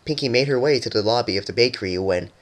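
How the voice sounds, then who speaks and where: A narrator giving an animated dramatic reading of a fan fiction story. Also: mid-range voice, fast talker. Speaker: narrator and voice actor.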